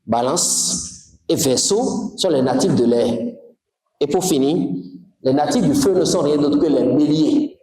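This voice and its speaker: A spiritual teacher from Togo lectures with lively emphasis, throwing questions out to the room. His voice sits high for a man. It rings with heavy echo off the walls. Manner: animated, emphatic, questions to the audience. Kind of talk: lecture to a class. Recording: very echoey room.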